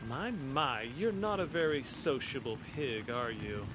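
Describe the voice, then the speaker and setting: A man's voice: medium pitch, big pitch swings, unhurried. Man acting a smug manor resident, noisy background. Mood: smug, chatty. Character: chatty, smug male resident of a grand manor. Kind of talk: game dialogue acting. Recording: clear background noise.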